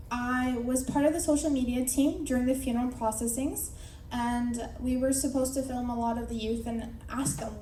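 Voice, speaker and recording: An earnest young woman speaks in a measured, reflective way, her voice slightly high and softly mellow. She is captured from a distance in a roomy, echoing hall, with faint noise underneath. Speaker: speaker at a memorial gathering. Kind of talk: prepared personal tribute speech. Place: large hall at an evening gathering. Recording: echoey hall from far off.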